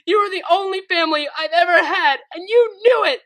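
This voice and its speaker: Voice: sits high, slow, creaky, sharp tone, husky, pitched above the performer's own voice, exaggerated voice. A reader voicing a young female pegasus filly who pleads and wails through tears, in a fan fiction audiobook. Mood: wailing. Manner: wailing, tearful pleading.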